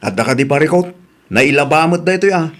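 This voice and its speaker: A man's voice: fairly high pitch, animated, quick pace, fairly bright tone. A man playing a thrifty, exasperated husband in an Ilocano comedy radio drama, grumbling with an Ilocano accent.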